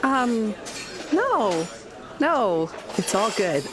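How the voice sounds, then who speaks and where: A woman's voice: fairly high pitch, sing-song, very slow, crowd noise, voice pushed up, exaggerated voice. A woman playing a mild, polite, uneasy researcher in a tabletop game, speaking hesitantly. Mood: sympathetic. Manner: hesitant, soft-pedalling.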